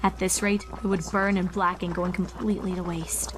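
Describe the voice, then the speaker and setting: A woman's voice: fairly low pitch, flat delivery, moderate pace, noisy background. A woman reading a horror fan fiction story aloud as its narrator.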